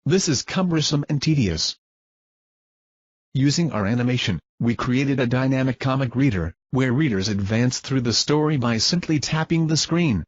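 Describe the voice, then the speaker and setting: A man reads a scripted research narration in a formal, measured manner, like a presenter explaining a technical method. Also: medium pitch, expressive, breathy edge, no room sound, highs cut off.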